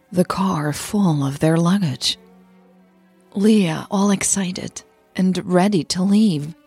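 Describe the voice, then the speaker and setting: A woman podcast host delivering a scripted narration in a measured, dramatic storytelling manner. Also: on the low side, a little mellow, breathy edge, dry recording, studio quality.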